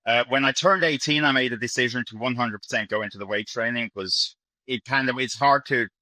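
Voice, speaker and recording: A man's voice: medium pitch, a little fast, sharp tone, no room sound, poor audio. A man who grew up in Ireland, with a mixed, Irish-influenced accent, explains things as a podcast guest in an emphatic, earnest, conversational way. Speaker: podcast guest, bodybuilding coach.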